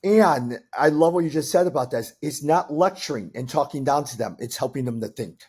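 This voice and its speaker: A man hosting a podcast interview, losing his voice and sounding husky, encouraging his guest. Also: medium pitch, lively intonation, fairly quick, darkish tone. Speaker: podcast host. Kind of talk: conversational interview.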